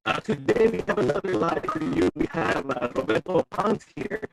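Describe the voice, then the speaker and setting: Higher-voiced male live-stream host. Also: big pitch swings, normal speed, gravelly, very noisy. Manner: friendly, drops Spanish words, fillers. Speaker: host, marketing manager. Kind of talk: casual live-stream interview. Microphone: video call.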